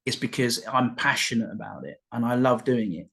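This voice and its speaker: A man's voice: medium pitch, moderate pace, vocal fry, a bit bright, slightly rough. A man hosting a podcast over a video call, chatting casually and often restarting his sentences. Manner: rambling, repeats words and restarts, jokey asides. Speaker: podcast host. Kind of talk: casual conversational chat.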